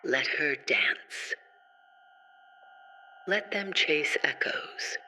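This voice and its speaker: Audio drama mastermind played by a man: coldly curious, menacing, very bright incisive edge, unhurried delivery. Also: fairly high pitch, slight background noise, full character voice. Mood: quietly menacing. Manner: slow, deliberate, menacing musing. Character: scheming male villain architect, coldly curious and controlling. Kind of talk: scripted audio drama villain monologue.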